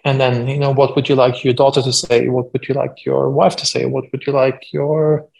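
A man's voice: medium pitch, level tone, moderate pace, gravelly, a little mellow, poor audio. A man in his forties with a German accent, a guest entrepreneur talking casually on a podcast, full of fillers. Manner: conversational, frequent fillers, self-deprecating.

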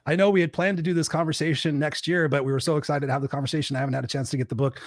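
A hoarse man hosts his live podcast while fighting a cold, driving his points home like a pep talk. He talks at a rapid clip. The sound is close and dry, with no room around it. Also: a little high, no room sound. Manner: fast, energetic pep-talk delivery. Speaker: podcast host.

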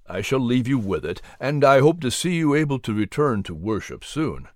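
A narrator voicing a kindly, calm male preacher who mentors gently in a measured, encouraging way. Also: medium pitch, no room sound, studio quality, natural voice. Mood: kindly, reassuring.

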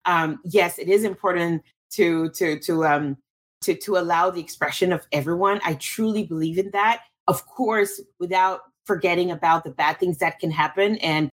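A woman's voice: lowish voice, moderate pace. A woman from Cameroon, a guest in an interview, answering thoughtfully with frequent restarts and fillers.

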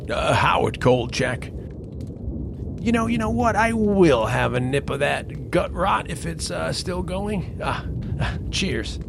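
An eager, nervy newspaperman in a western radio play. His fairly high, creaky voice swings about as he stammers through wordy questions, with clear ambient noise around him. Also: lively intonation, slow pace, heavily acted. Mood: tipsy, chummy. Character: eager, jittery, talkative male newspaperman out west. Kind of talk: western audio drama acting. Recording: noisy ambience behind the voice.

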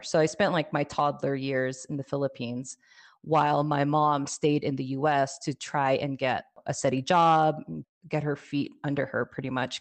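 A woman with a notably low speaking voice talks about her life in a relaxed interview. She tells her story with fillers and soft laughs. She sounds like a young adult raised in California. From California, USA. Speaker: podcast guest, life coach. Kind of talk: casual interview conversation.

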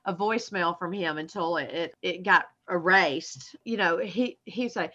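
A woman with a Southern American accent talks as a comedian guest, blunt and profane, laughing at herself. Her voice is bright and forward. The recording sounds slightly dull and lo-fi. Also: lowish voice, measured pace. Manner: blunt, profane, self-mocking, laughs often. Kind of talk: casual podcast interview.

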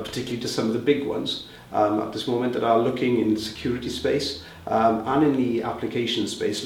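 Welsh man, low, distant and roomy. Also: a little hiss or hum. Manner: conversational, with frequent fillers and restarts. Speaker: head of a reseller group. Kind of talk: interview answers. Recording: roomy, distant mic with faint ambience.

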